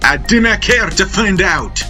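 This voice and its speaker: A man voicing a brash, defiant male starship pilot in a sci-fi audio drama, loud and obnoxious. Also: high-pitched, lively intonation, unhurried, soft background music, voice pushed up, full character voice. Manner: shouting, obnoxious, gum-chewing.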